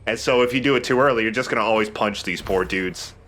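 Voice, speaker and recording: Bright, low-voiced man commentating gameplay. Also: fast talker, slight background noise. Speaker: let's play host and player.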